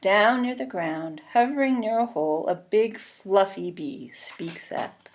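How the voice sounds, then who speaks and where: A woman reading a children's storybook aloud, expressive and deliberate, pausing between phrases and adding short asides. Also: medium pitch. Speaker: storybook reader. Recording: clearly noisy recording with clicks.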